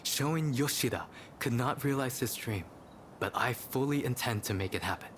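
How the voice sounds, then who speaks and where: An actor playing a courteous, ambitious gentleman in a video game, formal and composed in manner. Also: mid-range voice, normal speed, slight background noise, natural voice. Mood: pleased, determined. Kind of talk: video game cutscene acting.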